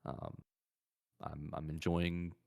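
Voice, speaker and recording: A man's voice: very deep voice, level tone, slow, creaky. A man hosting a podcast, chatting casually about video games and often circling back to repeat his phrases. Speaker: podcast host.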